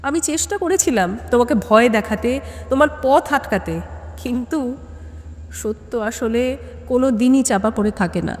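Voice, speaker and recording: A man's voice: very high voice, lively intonation, pitched above the performer's own voice. A woman voicing a frail, fearful and remorseful old man in an audio story, with a trembling voice and stammered repeats. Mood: guilty confession. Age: older. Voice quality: trembling, halting voice. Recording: long hall reverb.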